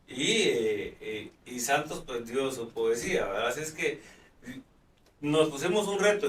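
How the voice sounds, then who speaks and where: A man's voice: medium pitch, fairly bright tone, slight background noise. A man hosting a live talk show, chatting with many fillers and restarts. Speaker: host. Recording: roomy, distant mic.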